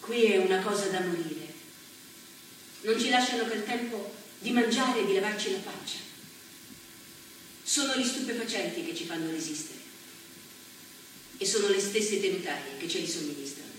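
A woman's voice: medium pitch, normal speed, long pauses, slight background noise, natural voice. An actress on stage playing a worn-out young woman in a closed brothel, voicing a weary, despairing plea. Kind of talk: stage reading. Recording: echoey stage sound from far off.

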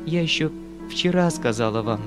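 A man narrating an audiobook voices a young governess who speaks softly and with resignation, close to tears. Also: fairly high pitch, animated, moderate pace, over music, natural voice. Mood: tearful. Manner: soft, subdued, resigned.

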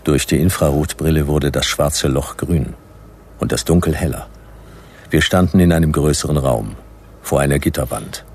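An actor playing a laconic private detective in a radio play, dry, terse and sardonic, with noir-style narration. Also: male voice, extremely low pitch, normal speed, a little mellow, husky, exaggerated voice. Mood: laconic narrating. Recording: radio play with background noise.

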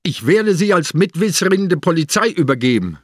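An actor playing a sick, irritable and stubborn old police inspector in a radio drama. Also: male voice, on the high side, big pitch swings, fairly quick, dry recording, clean studio sound. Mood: angry, exasperated. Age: older. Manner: gruff, exasperated, confrontational.

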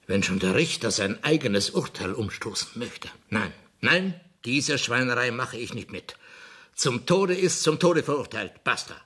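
Husky, imperious royal ruler. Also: male voice, mid-range voice, expressive, a little slow, fairly bright tone. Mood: angry, decisive. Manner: pompous, brusque, indignant. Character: imperious Bavarian royal ruler, stern and irritable. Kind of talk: 1970s German radio play acting.

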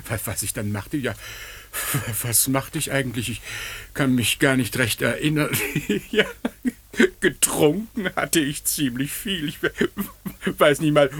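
A nervous estate steward in a 1950s radio play. He is polite but flustered, stumbling over words in a sharp, slightly rasping voice of middling pitch. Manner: stammering, polite, nervous chuckles.

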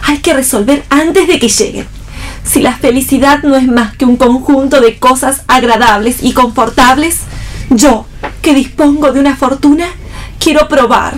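A woman plays a young, wealthy heroine of a read radio play, idealistic and headstrong. Her voice sits very high, with faint noise behind it. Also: normal speed, lightly acted. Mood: defiant. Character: defiant, idealistic rich young woman, yearning for happiness. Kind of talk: staged play reading for radio.

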